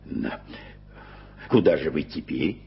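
An actor playing a flustered, grumbling older gentleman in a radio play. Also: rumbling low, lively intonation, slow pace, heavily acted. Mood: fussy. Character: Peter Hope, flustered old London editor. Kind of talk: 1980s studio radio play acting. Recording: dull old radio recording.

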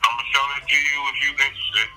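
A man's voice: medium pitch, fairly even intonation, fast talker, bright, forceful tone. A man selling a van in a casual phone conversation, slangy and blunt, heard over a telephone line. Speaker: private van seller. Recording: phone call with music behind.

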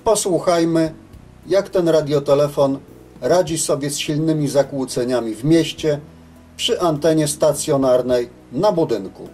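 A man giving a scripted technical narration as a reviewer, in a measured, matter-of-fact way. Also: a little high, music in the background. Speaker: reviewer of CB radios.

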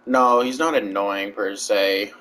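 A man's voice: on the low side, fairly even intonation, takes it slowly, fairly bright tone, slight background noise. A man streaming a video game, chatting casually and teasing his friend. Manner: playful, teasing, bursts of silly voices. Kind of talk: casual gaming chat with a friend.

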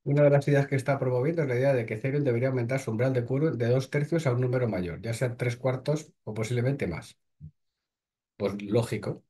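A man's voice: medium pitch, fairly even intonation, fast, warm tone, slightly rough. A man, hosting and reading crypto news aloud, then breaking off with short blunt remarks. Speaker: host.

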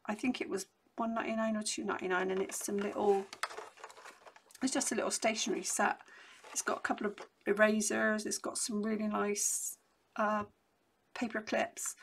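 A middle-aged woman, hoarse from losing her voice, chatting casually and rambling through a craft haul at her desk at home. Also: mid-range voice, slight background noise. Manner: rambling and chatty, many restarts. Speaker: crafting vlogger.

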